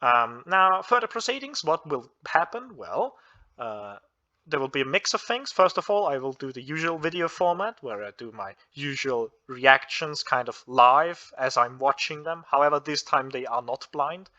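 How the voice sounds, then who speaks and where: Young Austrian man hosting his channel, bright voice, slightly muffled lo-fi recording. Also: medium pitch, expressive, normal speed. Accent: Austrian German. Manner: earnest, many restarts and fillers. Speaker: physics student and channel host. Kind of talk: casual explanatory monologue.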